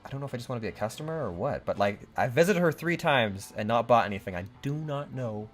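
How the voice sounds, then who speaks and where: A man's voice: medium pitch, expressive, fast, soft background music. A young man playing a video game with friends, rambling unsurely and reading game text aloud. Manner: rambling, unsure, laughs a lot. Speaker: let's play host.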